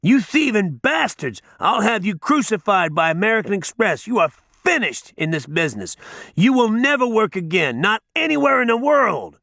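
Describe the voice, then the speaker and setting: A man voicing a loud, bullying male judge who barks and yells profane outbursts. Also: high-pitched, big pitch swings, moderate pace, creaky, no echo, clean studio sound, pitched above the performer's own voice, exaggerated voice. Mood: raging. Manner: barking, yelling, profane outbursts.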